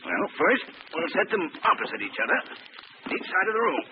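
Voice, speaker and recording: Cool gentleman detective, old radio show, swingy intonation, really noisy dull sound. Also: high-pitched, sing-song, a little fast. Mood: assured. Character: composed, wry, gentlemanly male amateur sleuth. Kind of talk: old-time radio mystery acting.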